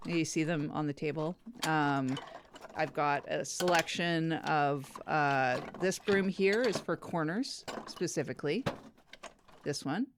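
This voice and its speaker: A woman playing an awkward, unfiltered broom salesperson in improvised comedy, rambling haltingly in a matter-of-fact way. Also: low-pitched, background noise. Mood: awkward, casual. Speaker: product demonstrator on a comedy podcast.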